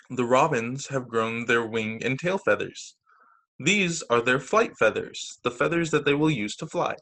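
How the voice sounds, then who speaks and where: A man teaching young children through a read-aloud storytime on a video call, with expressive, deliberate phrasing. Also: medium pitch. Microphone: video call microphone.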